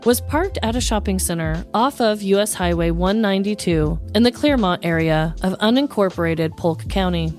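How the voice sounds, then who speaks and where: A woman's voice: mid-range voice, normal speed, few pauses, a little mellow, faint music behind. An American woman narrating a scripted comedic true-crime story as a podcast host.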